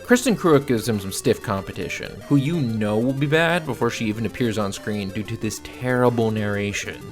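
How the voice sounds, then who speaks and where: A man giving scripted film review commentary in a blunt, exasperated, sardonic way, with a gravelly creak. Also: lowish voice, moderate pace, over music. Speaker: video reviewer.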